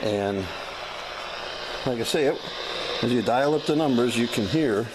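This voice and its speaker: An American man giving a casual, unscripted demonstration as a hobbyist, talking in a folksy, plain-spoken, halting way. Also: medium pitch. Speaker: hobbyist demonstrating a vintage gadget. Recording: clearly noisy amateur recording.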